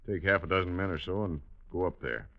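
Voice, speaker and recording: An actor playing a sly, persuasive Old West authority figure in a radio western, coaxing with deliberate leading questions. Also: male voice, sits low, expressive. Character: persuasive, sly male authority recruiting a sheriff. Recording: muffled old radio recording.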